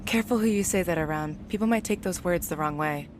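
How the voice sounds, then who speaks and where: A woman's voice: on the low side, fast, slight background noise, lightly acted. A woman playing a stern, sensible young woman in an audio drama, with a dry, weary, scolding delivery.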